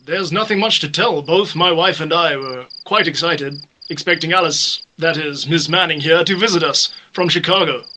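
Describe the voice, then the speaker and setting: A man's voice: fairly high pitch, normal speed, few pauses, bright, forceful tone. A man playing an earnest husband caught up in a murder case, with stagey delivery in an amateur radio mystery. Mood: subdued. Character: earnest husband suspected of his wife's murder. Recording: slightly noisy amateur voice-message recording.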